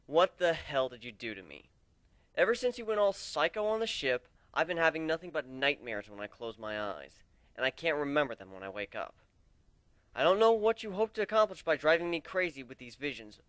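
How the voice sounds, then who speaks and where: A man's voice: on the high side, sing-song, moderate pace, a bit bright, no room sound, voice pushed up. A man voicing a brooding, sardonic male griffin captain in an earnest, theatrical dramatic reading. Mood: indignant. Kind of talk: dramatic reading of fan fiction.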